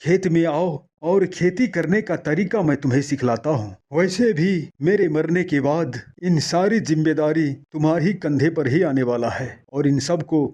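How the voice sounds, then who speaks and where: A man plays an older village farmer, a patient and firm father in a moral story. His voice sits fairly high for a man. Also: normal speed, few pauses, warm tone, natural voice. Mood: firm, persuasive. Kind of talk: acted moral-story dialogue.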